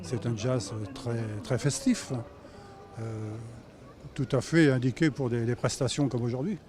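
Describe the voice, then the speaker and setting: A man who plays in a jazz band from Normandy, answering an informal interview haltingly and repeating words. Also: medium pitch, a little mellow, faint music behind. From Normandy, France.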